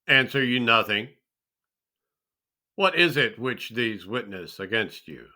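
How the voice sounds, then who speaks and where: A man playing a stern, indignant high priest in a dramatic scripture reading, commanding and accusatory. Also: lively intonation, slow pace, pushed and bright, heavily acted. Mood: stern demand.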